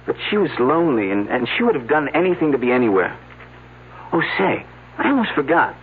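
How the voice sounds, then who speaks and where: A man's voice: fairly high pitch, very animated, fairly quick, a little hiss or hum. An actor playing an earnest young man working at a dig, who stammers when flustered. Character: earnest young male dig worker, eager and a bit flustered. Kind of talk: 1970s radio drama acting. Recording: tinny old radio broadcast.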